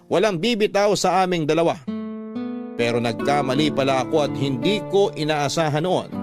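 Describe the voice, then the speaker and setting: A man reading a scripted, sentimental first-person story as narrator of a Tagalog radio drama, in a measured manner. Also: on the high side, lightly acted.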